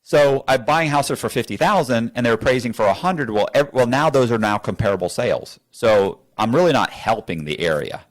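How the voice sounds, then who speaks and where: A man's voice: medium pitch, animated, brisk, hardly any pauses. A man being interviewed, telling stories in a rambling, blunt way and acting out both sides of conversations. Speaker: interview guest. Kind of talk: animated storytelling with acted-out dialogue. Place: studio.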